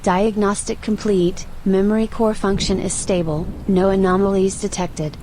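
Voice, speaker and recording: A woman voicing a polite, watchful archive AI in an audio roleplay, speaking in a formal, measured, robotic manner. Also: medium pitch, flat delivery, warm tone, a little hiss or hum, close to an everyday voice, poor audio. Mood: composed, probing. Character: female-voiced archive AI, polite, composed, coolly watchful.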